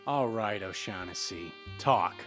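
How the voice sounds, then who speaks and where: A man's voice: lowish voice, measured pace, a bit bright, soft background music. Man acting a hard-boiled, cynical radio detective. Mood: cold, accusing. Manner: clipped, blunt, accusing. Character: hard-boiled cynical male private detective, tough and unsentimental.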